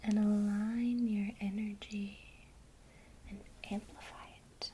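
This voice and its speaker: A woman whispering softly and calmly through a guided ASMR lesson on casting a spell. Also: mid-range voice, a little hiss or hum. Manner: soft unvoiced whisper, slow and calm. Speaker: ASMR creator teaching witchcraft.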